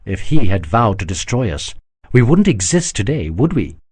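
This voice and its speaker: A man reading an audiobook, voicing a sharp-witted proud woman who teases and mocks gently. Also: fairly low pitch, very animated, normal speed, breathy edge. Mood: teasing. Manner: teasing, gently mocking. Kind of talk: audiobook character voice.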